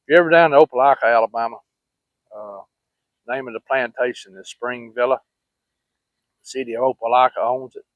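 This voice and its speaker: Male storyteller, Southern US accent, mid pitch, rambling and plain-spoken; dry, narrow phone-like sound. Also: fairly even intonation, fairly quick, long pauses, dry recording. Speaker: vlogger. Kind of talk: casual personal storytelling. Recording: muffled, phone-like narrow-band audio.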